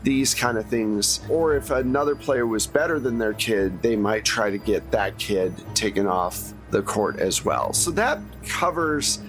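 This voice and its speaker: A man's voice: on the low side, expressive, measured pace, hardly any pauses, fairly bright tone, faint music behind. Informal man hosting a tabletop game. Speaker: game master and host. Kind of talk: conversational recap of tabletop game story.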